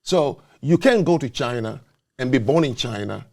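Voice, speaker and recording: A man's voice: mid-range voice, animated, moderate pace, creaky, darkish tone. A man hosting a talk show, making his case in an emphatic, lecturing way. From not born in the US, naturalized citizen. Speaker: show host. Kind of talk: opinionated talk show discussion.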